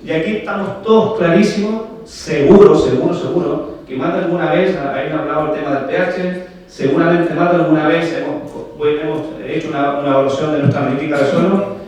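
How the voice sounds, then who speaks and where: A man's voice: a little high, a little fast, a little mellow. A man from Chile with a Chilean Spanish accent, giving a technical conference talk and often checking in with "¿vale?" and rhetorical questions to the audience. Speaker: agronomy speaker. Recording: very echoey hall, voice heard from far off.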